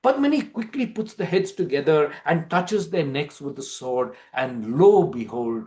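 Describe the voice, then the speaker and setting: A man narrating as a storyteller, giving a theatrical, expressive dramatic reading of a play. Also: a little high, measured pace.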